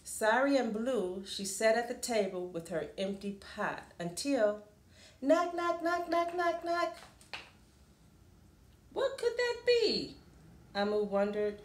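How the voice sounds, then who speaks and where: A woman reading a picture book aloud expressively to children, with explanatory asides and questions in a deliberate manner. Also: a little high, very animated. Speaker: children's storybook reader. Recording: roomy, echoing room sound.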